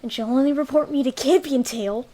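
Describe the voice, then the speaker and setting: A woman's voice: sits high, fairly quick, warm tone. A woman playing a proud, defiant young apprentice cat in an amateur audio drama. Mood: sullen.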